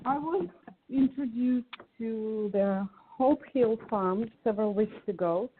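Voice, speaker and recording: A woman from Ukraine speaking as a guest on a group call, sharing personal reflections in an earnest, hesitant way with pauses between short phrases. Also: a little high, slight background noise. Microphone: phone call. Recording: tinny, band-limited phone line.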